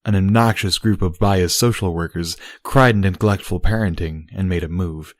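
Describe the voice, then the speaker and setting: A man's voice: low-pitched, moderate pace, no echo, studio quality. A man reading a scripted fantasy story as its narrator. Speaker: audiobook narrator.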